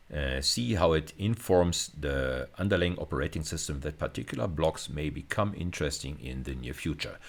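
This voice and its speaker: Low-pitched male lecturer. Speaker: university lecturer. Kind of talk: video lecture on technical material.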